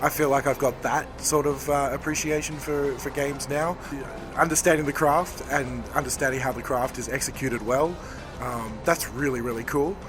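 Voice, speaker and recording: A man, a game developer, hoarse from talking all day, answering interview questions in a rambling, thoughtful way. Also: mid-range voice, steady tone, moderate pace, over music. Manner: rambling, self-correcting, thoughtful. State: hoarse, losing his voice. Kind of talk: convention floor interview. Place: busy convention floor.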